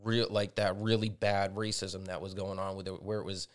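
A man's voice: deep voice, little pitch movement, moderate pace. A man co-hosting a podcast, agreeing often with short replies and swearing now and then in a casual opinion chat. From United States. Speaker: podcast co-host.

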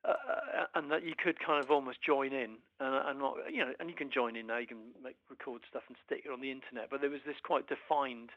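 A man from Wales, a musician guest in a casual interview, talking haltingly with many restarts and fillers. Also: mid-range voice, steady tone, no room sound, highs cut off.

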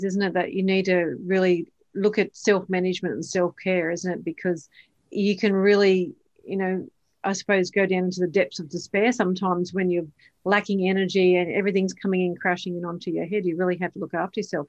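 A woman's voice: fairly low pitch, steady tone, a little fast, lo-fi sound. A woman hosting a podcast interview. She reads a scripted intro, then asks chatty questions and often ends them with "isn't it?". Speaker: podcast host.

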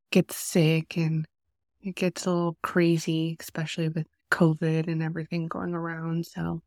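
Congested, nasal woman speaking slowly. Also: lowish voice, dry recording, clean studio sound. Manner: soft, unhurried, earnest. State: congested from a cold. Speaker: podcast host. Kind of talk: faith podcast talk and prayer. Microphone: close podcast mic.

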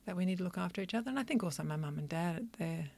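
Low-voiced woman with gravelly fry. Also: expressive, very fast, darkish tone. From Australia, country Victoria. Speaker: Chinese medicine practitioner and clinic co-founder. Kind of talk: reflective interview answers.

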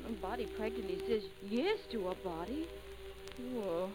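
A woman's voice: mid-range voice, moderate pace, over music, close to an everyday voice, low-quality recording. An actress playing a sweet but sassy young backwoods girl with a rural Southern US accent, in old radio drama style. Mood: wistful, exasperated. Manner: animated, folksy backwoods dialect.

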